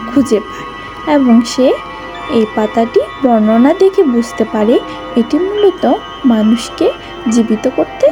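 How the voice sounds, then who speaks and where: Female narrator for a children's cartoon tale, high-pitched voice, music underneath. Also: low-quality recording. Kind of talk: scripted story narration.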